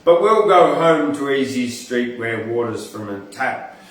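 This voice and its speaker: A man's voice: mid-range voice, lively intonation, a little slow. An Australian man hosting an informal poetry reading, reciting verse and rambling through chatty asides as he thinks aloud. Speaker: poetry podcast host and reader. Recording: echoey, distant mic, faint background noise.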